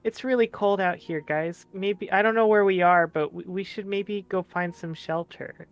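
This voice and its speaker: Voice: medium pitch, steady tone, moderate pace, clean tone. A tabletop game player casually acting out and narrating a character in a tentative, stammering way.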